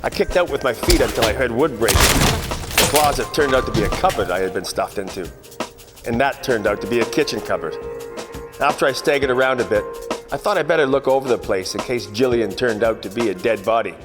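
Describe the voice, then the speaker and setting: A man's voice: mid-range voice, expressive, brisk, lightly acted. Man playing a wry 1940s private eye, reverberant. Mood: weary, sardonic. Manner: wry, hard-boiled delivery. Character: wisecracking, world-weary 1940s male private eye. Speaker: actor narrating the lead part. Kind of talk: 1940s radio detective narration, parody. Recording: long hall reverb, music underneath.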